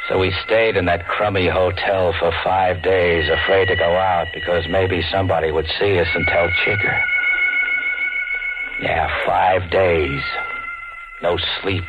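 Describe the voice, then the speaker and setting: An actor playing a jumpy, hard-boiled hoodlum in a 1940s radio thriller, talking tough, terse slang. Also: male voice, low voice, huge pitch swings, unhurried, full character voice. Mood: haunted, grim. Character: jumpy hard-boiled hoodlum dancer hiding out, narrating his tale. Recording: dull old radio broadcast with music.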